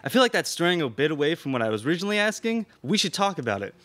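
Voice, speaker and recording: A man's voice: a little high, very animated, rapid-fire, a bit bright. A young man playing a musing, casual moviegoer in student radio theatre dialogue. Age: young adult. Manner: casual, rambling. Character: musing young male moviegoer given to existential questions. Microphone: microphone on stand.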